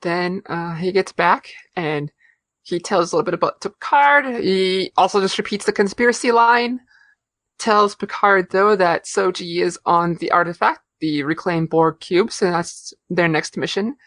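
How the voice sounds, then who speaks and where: A woman's voice: lowish voice, measured pace, fairly bright tone, no room sound. A woman co-hosting a podcast, talking casually about a TV episode with many fillers and restarts. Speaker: podcast co-host. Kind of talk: casual chat.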